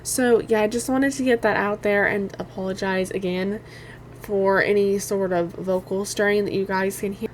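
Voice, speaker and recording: Young woman, hoarse and strained, quiet delivery; casual rambling game reviewer, faint background noise. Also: medium pitch, steady tone, normal speed. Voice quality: quiet, cannot get louder. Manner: rambling, many "like" fillers, apologetic. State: hoarse, strained voice after allergies.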